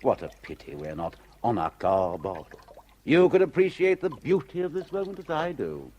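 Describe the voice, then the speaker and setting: An actor playing a suave, condescending villain in a radio drama, urbane and patronising with old-fashioned upper-class phrasing. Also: male voice, mid-range voice, huge pitch swings, normal speed, darkish tone, a little hiss or hum. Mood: coolly gloating. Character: suave, condescending male villain, ruthless and money-minded.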